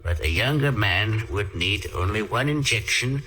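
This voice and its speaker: An actor playing an elderly, agitated scientist guarding his life's work in an old radio drama, with a raspy voice. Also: on the low side, animated, unhurried, pushed and bright, poor audio. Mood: muttering, absorbed. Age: older. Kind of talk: 1940s radio acting.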